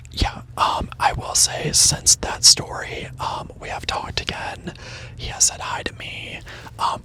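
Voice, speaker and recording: Whispering man, bright and lively. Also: high-pitched, gravelly, rough recording. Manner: soft unvoiced whisper, casual and rambling with many "like" and "um". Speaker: teacher. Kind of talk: whispered ASMR storytime. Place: parked car. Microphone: microphone on the dashboard.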